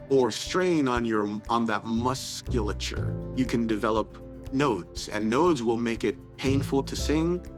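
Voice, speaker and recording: A man who sings for a living, calmly explaining how he sings and how he cares for his voice in an interview. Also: medium pitch. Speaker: professional singer. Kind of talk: interview talk. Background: music bed.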